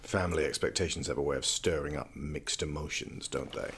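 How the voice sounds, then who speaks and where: A man's voice: extremely low pitch, brisk, vocal fry, a bit bright, husky, full character voice. A man acting in an audio drama as an erudite, teasing young prince who studies magic, speaking in a dry, formal, pedantic way. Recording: music behind the voice.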